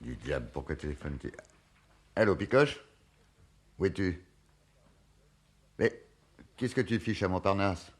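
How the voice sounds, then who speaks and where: Stubborn detective, low-pitched, touchy, insistent, theatrical radio play delivery. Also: male voice. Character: dogged, touchy male police detective chasing a hunch. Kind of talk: French radio play acting.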